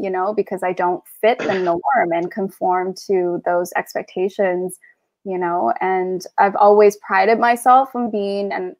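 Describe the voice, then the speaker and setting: A woman's voice: lowish voice, no room sound. A woman in her thirties, originally from Taiwan and not an English speaker, speaking thoughtfully as co-host on a video call from her living room. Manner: thoughtful, measured, hesitant with "um". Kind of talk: reflective conversation. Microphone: earphone mic on video call.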